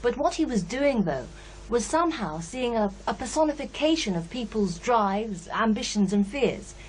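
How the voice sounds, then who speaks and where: Lady doctor, radio drama, hesitant but candid, lively pitch, bit of hum behind. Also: moderate pace, rough recording. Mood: thoughtful, candid. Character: brisk, candid female psychiatrist, sceptical yet unsettled. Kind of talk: naturalistic radio drama dialogue.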